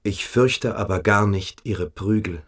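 An actor playing a submissive, evasive boarding-school pupil in a German radio play, hesitant and coquettish. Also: male voice, lowish voice, a little slow, fairly bright tone. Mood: defensive, anxious.

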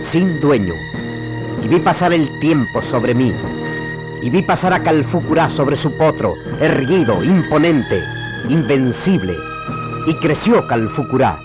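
An actor with an Argentine accent voicing the vast pampa as a solemn, poetic epic storyteller in a radio drama. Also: male voice, fairly high pitch, huge pitch swings, unhurried, over music, rough recording. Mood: solemn, majestic. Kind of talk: scripted radio drama narration.

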